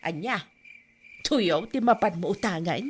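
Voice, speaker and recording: A woman acting a meddling, sharp-tongued older village woman, preachy and exclamatory in a comedy drama. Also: lowish voice, expressive, unhurried, slightly rough. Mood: nosy, chiding.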